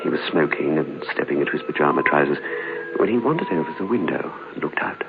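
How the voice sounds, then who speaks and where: Eerie radio ghost story narrator guy, super low and creaky, measured, old muffled broadcast. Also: expressive, music in the background, exaggerated voice. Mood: measured, suspenseful. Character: storyteller recounting a friend's eerie experience.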